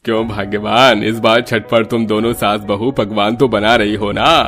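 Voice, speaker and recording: A man voicing a jovial, food-loving father-in-law in a cartoon, hearty and gravelly. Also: fairly low pitch, level tone, moderate pace, fairly bright tone, faint music behind. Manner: hearty, relishing.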